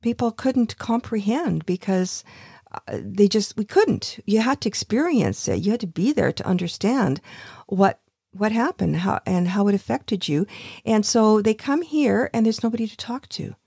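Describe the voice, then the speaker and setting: A woman's voice: medium pitch, animated, normal speed, creaky, a little mellow, slightly rough, no echo, studio quality. An older woman hosting a radio interview, speaking in a thoughtful, affirming conversational way. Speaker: radio show host.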